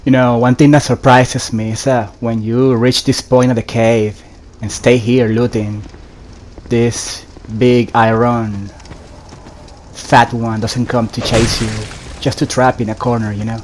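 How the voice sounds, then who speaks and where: A man's voice: medium pitch, little pitch movement, measured pace, a little mellow, a little hiss or hum. A man giving casual gameplay commentary as he plays. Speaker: gamer.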